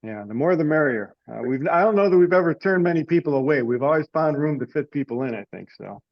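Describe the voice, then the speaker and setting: A man's voice: fairly high pitch, lively intonation, quick pace. A man chairing an informal online meeting over a lo-fi conference connection. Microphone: teleconference connection. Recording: dull, lo-fi conference call audio.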